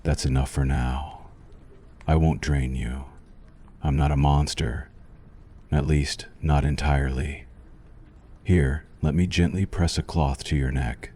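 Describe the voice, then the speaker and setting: An abyssally deep, dark-toned male vampire doctor played by a man speaks very slowly, as in an ASMR roleplay. He is courtly and intimate, soothing with a menacing edge. Every phrase carries a possessive, suave hunger. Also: steady tone, slight background noise.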